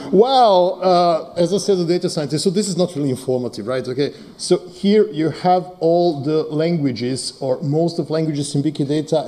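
Man with a mellow voice. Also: medium pitch. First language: Serbo-Croatian. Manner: hesitant, many fillers, joking asides. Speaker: conference presenter, data scientist. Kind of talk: conference talk with live demo.

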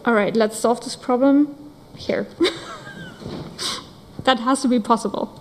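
A woman with a fairly high voice keeps a conference Q&A moving in a meeting room. She sounds upbeat and brisk, with faint room noise in the background. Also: steady tone, long reverb tail. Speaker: session moderator. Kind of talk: moderating a conference Q&A.

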